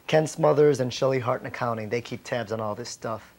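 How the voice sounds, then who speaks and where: A man's voice: medium pitch, fairly quick, gravelly, darkish tone. An actor in his thirties playing a slick, brash investment manager who is evasive under pressure, in a corporate training-film drama. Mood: smooth, placating. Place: indoor office.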